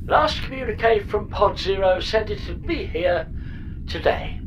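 A woman's voice: mid-range voice, lively intonation, unhurried, background noise, lightly acted. A woman playing a chatty, flippant and reckless spaceship pod computer in a comedy audio drama, talking in a breezy, mock-grand theatrical way. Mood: blithely evasive.

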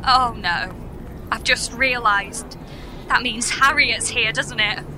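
A woman's voice: on the high side, slow pace, music in the background, poor audio. A woman playing an exasperated, sardonic space traveller in a sci-fi audio comedy, incredulous and dry in manner. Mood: bemused.

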